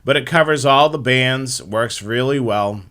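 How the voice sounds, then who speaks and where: A man's voice: mid-range voice, measured pace, pushed and bright. A man hosting a live hobby show, explaining things step by step in an informal slideshow presentation.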